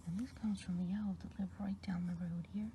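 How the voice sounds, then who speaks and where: A woman voicing a kind good witch of the woods, whispering softly and slowly in an ASMR roleplay. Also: medium pitch, steady tone, background noise, close to an everyday voice. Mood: gentle whisper. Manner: soft unvoiced whisper, slow and meandering.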